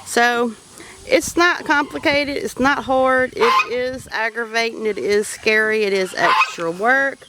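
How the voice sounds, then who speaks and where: A woman's voice: fairly high pitch, a little slow, sharp tone, slight background noise. A woman with a Southern accent talking casually and practically about her homestead while walking outdoors. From Louisiana. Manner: down-to-earth, folksy, practical. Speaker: homesteader vlogger. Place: outdoors on a farm.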